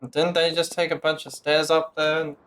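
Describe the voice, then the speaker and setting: A man's voice: on the high side, little pitch movement, normal speed, background noise. Blunt male game streamer. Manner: blunt, mocking, profane. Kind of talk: casual live gameplay commentary.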